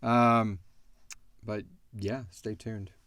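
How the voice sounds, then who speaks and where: A man's voice: fairly low pitch, flat delivery, extremely slow, a bit bright, dry recording. A man hosting a casual question-and-answer chat, speaking informally and thinking aloud as he goes. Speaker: podcast host and audio drama creator.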